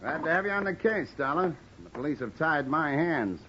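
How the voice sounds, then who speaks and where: A man's voice: on the high side, big pitch swings, normal speed. A man playing a guarded, cynical private detective working as a bodyguard in an old radio noir drama. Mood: guarded, confiding. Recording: dull old radio recording, faint noise.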